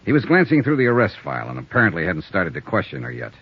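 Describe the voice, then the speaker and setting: A man's voice: low-pitched, huge pitch swings, quick pace, husky, exaggerated voice. An actor playing a terse, hard-boiled insurance investigator who narrates his case in an old radio detective show. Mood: narrating. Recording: dull old radio broadcast with faint music.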